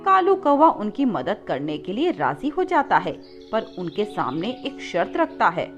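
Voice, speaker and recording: A woman's voice: medium pitch, wildly swooping pitch, unhurried, lo-fi sound, over music. A woman reading a scripted children's story as its narrator.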